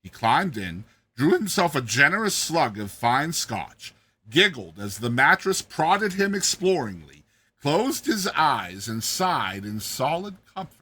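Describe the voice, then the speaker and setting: A man narrating a short story in a theatrical, expressive, dramatized reading style. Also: a little high, a little slow, husky. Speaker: narrator, host of a reading series.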